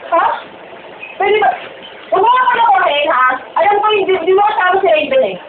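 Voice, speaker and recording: A woman's voice: very high-pitched, expressive, fairly quick. A teenage girl with a Filipino accent acting as a young woman hounded by a phone stalker in an amateur horror drama. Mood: pleading, distressed. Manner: pleading, repetitive, agitated. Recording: tinny, noisy, echoey phone-like audio.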